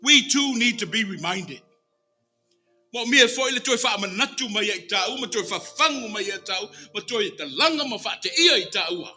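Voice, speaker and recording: Forceful middle-aged Samoan preacher man. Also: high-pitched, animated, normal speed, soft background music. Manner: emphatic, swinging between hushed and loud declamation. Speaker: church minister preaching. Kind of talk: sermon. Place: church sanctuary, at a pulpit. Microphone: microphone on a boom stand at the pulpit.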